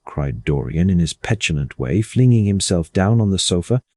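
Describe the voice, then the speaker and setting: Male audiobook narrator, extremely deep, dark and husky, reading literary prose with lively intonation. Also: normal speed, dry recording.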